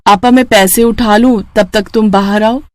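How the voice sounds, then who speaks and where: A woman's voice: medium pitch, fairly even intonation, moderate pace, no echo, studio quality, close to an everyday voice. A woman voicing a meek, patient poor younger sister, deferential and obedient, in a storybook tale. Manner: deferential, patient, gentle wording.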